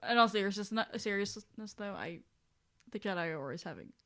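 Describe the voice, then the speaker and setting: A woman's voice: mid-range voice, expressive, fairly quick, fairly bright tone. A woman co-hosting a podcast in casual chat, with a giggly, irreverent manner and loose, casual swearing. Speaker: podcast co-host reading listener questions.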